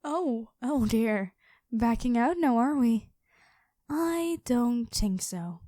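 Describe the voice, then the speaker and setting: A woman voicing an imperious, teasing female dragon queen in an ASMR roleplay, speaking to the listener with drawn-out, commanding delivery. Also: fairly high pitch, animated, dark tone, no echo, studio quality. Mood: smug, menacing.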